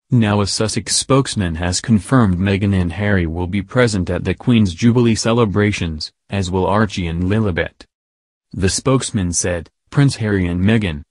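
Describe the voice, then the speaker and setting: A man narrating a scripted news piece in an even reading manner. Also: on the low side, no echo. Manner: even, reading quotes in the same voice.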